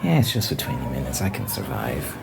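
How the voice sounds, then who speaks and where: A man's voice: rumbling low, huge pitch swings, fairly quick, vocal fry, very noisy. A man from Zimbabwe talking casually to camera in his room, rambling and correcting himself as he thinks aloud. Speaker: ASMR channel host. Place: bedroom with window.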